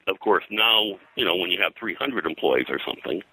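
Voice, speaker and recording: An older American man reminiscing as an interview guest, in a halting, rambling way. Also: sits low, expressive, bright, forceful tone, husky, no echo, rough recording. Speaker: guest, comics colorist and publisher.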